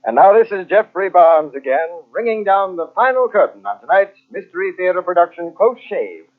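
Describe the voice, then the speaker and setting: Old radio mystery host guy, theatrical, very lively pitch, tinny narrow sound. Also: normal speed. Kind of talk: formal 1940s radio hosting, scripted.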